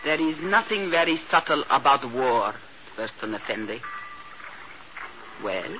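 A proud, coolly threatening informant in 1950s radio drama style. Her delivery is deliberate and formal but strongly inflected, on a dull, noisy vintage recording. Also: very deep voice, big pitch swings, exaggerated voice. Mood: coldly determined.

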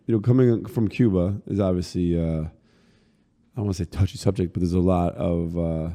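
Man, host, very deep voice, very dark tone, relaxed rambling questions, long room reverb. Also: normal speed. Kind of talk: casual interview chat.

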